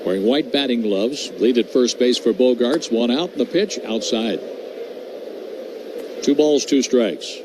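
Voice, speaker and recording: A man's voice: medium pitch, measured pace. Man calling baseball over noisy ballpark ambience. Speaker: play-by-play radio announcer. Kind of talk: live baseball radio commentary. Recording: clear background noise at ballpark.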